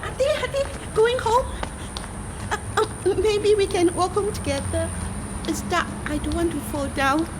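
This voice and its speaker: A woman's voice: very high-pitched, moderate pace, very noisy. A woman playing an eager, chatty single young neighbour in an amateur radio play, with a Singaporean accent. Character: eager, chatty unmarried young female neighbour, hinting at romance.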